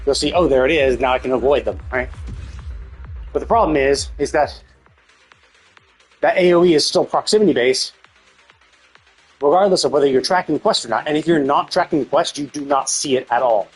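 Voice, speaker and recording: A man's voice: mid-range voice, a little fast, pauses often, slightly rough, soft background music. A man, live streaming casual game commentary in an informal, profane, explanatory and opinionated way.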